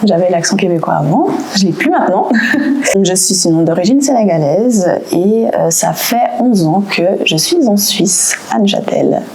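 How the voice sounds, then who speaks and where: A woman's voice: mid-range voice, animated, normal speed, a little mellow, a little hiss or hum. A woman in her twenties from Canada, speaking candidly as an interview guest, with frequent hesitations and restarts. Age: 20s. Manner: hesitant, many fillers and restarts.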